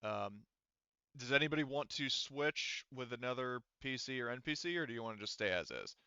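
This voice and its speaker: A man's voice: medium pitch, lively intonation, brisk, sharp tone, clear, resonant tone, dry recording, highs cut off. A man working as game master, running a tabletop roleplaying game in a casual, freely swearing manner.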